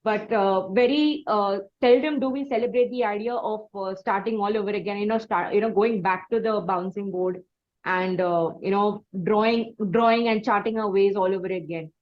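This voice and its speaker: A woman's voice: medium pitch, moderate pace, dull audio. A woman with an Indian accent interviewing conversationally, reflecting in long, wordy sentences with hesitant phrasing.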